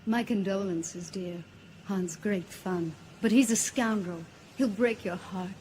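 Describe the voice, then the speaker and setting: An actress playing a sly, sardonic smuggler with a sultry, teasing manner in an audio drama. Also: female voice, mid-range voice, slow, a little mellow, a little hiss or hum, close to an everyday voice. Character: sly, sardonic female smuggler, a jilted old flame. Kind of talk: space-opera audio drama acting.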